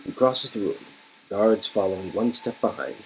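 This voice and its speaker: A man's voice: fairly low pitch, sing-song, normal speed, a little hiss or hum, rough recording. A man reading narration for an interactive story game in a casual way, on an amateur home recording.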